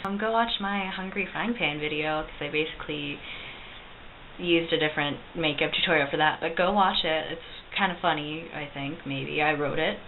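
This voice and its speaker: A young woman with a slightly low voice talks through a makeup tutorial in a chatty, self-deprecating way, with plenty of ums. The sound is thin and phone-like, with a loud steady hiss underneath. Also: normal speed. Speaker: cosplay makeup vlogger. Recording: tinny lo-fi recording with loud hiss.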